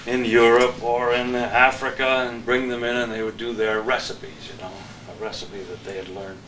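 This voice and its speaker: A man's voice: mid-range voice, little pitch movement, normal speed. An older man chatting casually about old times as a guest. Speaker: former TV weatherman, guest. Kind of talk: casual reminiscing chat. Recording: echoey room, distant mic, clear noise.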